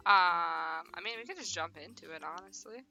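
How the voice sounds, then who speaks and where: Girl streamer chatting casually, slangy n expressive, bright voice, bit of music behind. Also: lowish voice, moderate pace, gravelly, dry recording. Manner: slangy, expressive, prone to giggling. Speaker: streamer hosting a visual novel playthrough. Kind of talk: casual live-stream banter.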